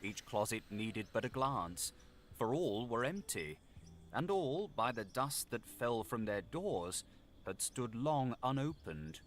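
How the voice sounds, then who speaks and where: A man narrating a gothic audiobook in a measured, literary, dramatic delivery. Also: medium pitch, sing-song, slight background noise.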